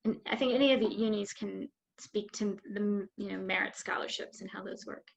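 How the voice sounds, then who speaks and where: A woman moderating a webinar who reads out audience questions and passes them to the panel in a bright, quick way. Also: mid-range voice, fairly even intonation, creaky, highs cut off. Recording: online video call.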